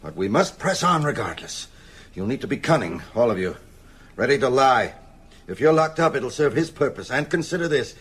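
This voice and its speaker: An actor playing an ailing old vampire-hunting mentor in radio drama, solemn and urgent, with a husky voice. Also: male voice, medium pitch, sing-song, normal speed, a bit bright. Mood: grave, urgent. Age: older.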